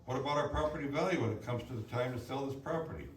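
A man's voice: mid-range voice, racing. A man reading prepared written statements aloud at a public meeting. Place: indoor public hearing room. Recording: echoey meeting room, distant mic, faint noise.

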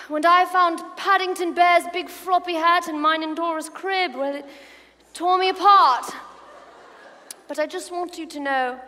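A woman's voice: high voice, pushed and bright. A woman playing an arrogant, spiteful teenage schoolboy bully with a pompous, sneering drawl in a comedic stage reading. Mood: mock sympathy, scheming. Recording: reverberant hall, clear background noise.

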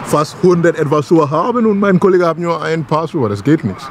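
A man's voice: a little high, expressive, brisk. Jokey West African man, noisy recording. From Gambia. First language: not German. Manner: jokey, boastful, non-native phrasing. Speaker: vlog host, bodybuilder. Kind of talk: casual, rambling vlog chat. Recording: noisy car and street ambience.

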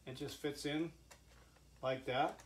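An older man giving a halting, folksy, informal product demonstration, like an inventor showing off his own gadget. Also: a little high, steady tone. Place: indoor cluttered home workshop. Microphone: camera mic a few steps away. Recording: echoey workshop with background noise.

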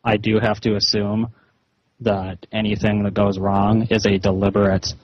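A man with a low voice and little melody talks through a slightly muffled phone call. His delivery is measured and halting, yet he keeps pressing his point with a husky tone. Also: level tone, no echo. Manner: measured, hesitant, insistent. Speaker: caller. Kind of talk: slow, halting phone conversation. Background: phone call recording.

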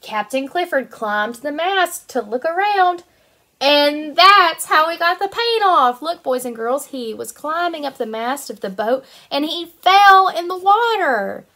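A woman in her twenties, a kindergarten teacher reading a picture book aloud to young children in an animated, sing-song way. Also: high-pitched, a little slow. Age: 20s.

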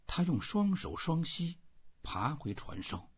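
A man narrating a literary audiobook in an expressive, dramatic reading style. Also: a little high, slow pace, breathy edge, highs cut off.

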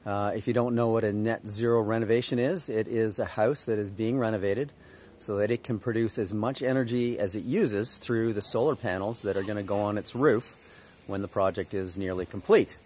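A man in his fifties giving a casual walkthrough of a construction site to a handheld camera, relaxed and upbeat with frequent filler words. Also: on the low side, moderate pace, a little hiss or hum. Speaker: builder giving a site tour. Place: outdoor construction site, then inside a framed house. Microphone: phone or camera held at arm's length.

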